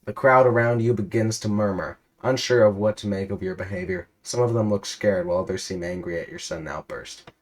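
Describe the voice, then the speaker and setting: A man's voice: lowish voice, brisk, rough recording. A man narrating an absurd interactive story aloud in a theatrical, emphatic way. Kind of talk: dramatic read-aloud of absurd interactive fiction.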